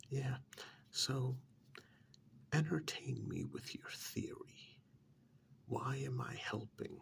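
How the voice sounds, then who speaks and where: A man playing a smug, pampered captive in a soft-spoken ASMR role-play, drawling sardonically with long pauses and feigned innocence. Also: fairly low pitch, full character voice. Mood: sardonic, smug. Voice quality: soft, half-whispered, gravelly.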